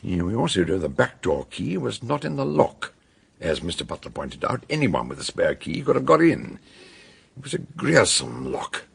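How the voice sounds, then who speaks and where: An aging, eccentric British sleuth in a radio mystery. His lowish voice is very husky and crackles with gravel, swinging widely as he probes bluntly and exclaims theatrically. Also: very animated, fairly bright tone, exaggerated voice. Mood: grave, insistent. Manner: theatrical exclamations, deliberate questioning. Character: eccentric, blunt, aging male amateur detective, ponderous and probing. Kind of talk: British radio detective drama acting.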